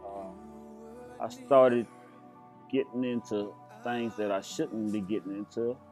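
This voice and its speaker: A man with a fairly low voice tells his own story as a testimony. He speaks reflectively, in halting phrases, with faint music behind him. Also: fairly even intonation, darkish tone. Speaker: church member giving testimony. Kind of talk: personal testimony.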